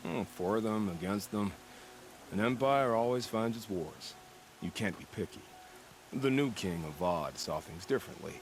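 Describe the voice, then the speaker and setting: An actor playing an unsettlingly calm, death-loving former soldier in a video game, musing and savoring his menace in measured phrases. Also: lowish voice, slight background noise. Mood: wry, reflective. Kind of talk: video game dramatic acting.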